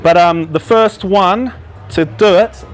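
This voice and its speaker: A man hosting a live talk night, introducing speakers casually with many pauses. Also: high voice, faint music behind. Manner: casual, hesitant, lots of ums. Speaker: event host. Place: hall with a live audience.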